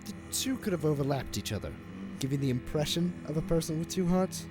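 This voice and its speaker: A man playing a calculating, commanding gang boss in an audio drama, speaking in a measured, deliberate, authoritative way. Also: a little high, natural voice. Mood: intrigued. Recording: music under the voice. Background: dramatic music.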